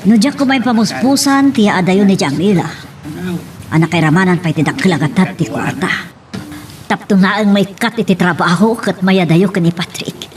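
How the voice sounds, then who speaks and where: A woman's voice: medium pitch, normal speed, slight background noise, close to an everyday voice. A woman with an Ilocano accent playing a grieving, remorseful middle-aged mother in an audio melodrama, lamenting dramatically. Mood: anguished regret. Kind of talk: Ilocano radio-style melodrama acting.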